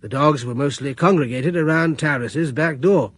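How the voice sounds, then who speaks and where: Guy narrating a radio play, calm and reflective, dry close sound, bit breathy. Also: on the high side, normal speed, no echo. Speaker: narrator and lead character. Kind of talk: first-person radio drama narration.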